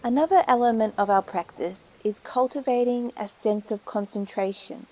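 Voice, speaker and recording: A woman leading a guided meditation, giving soothing, unhurried instructions with pauses between phrases. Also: fairly high pitch, warm tone, low-quality recording.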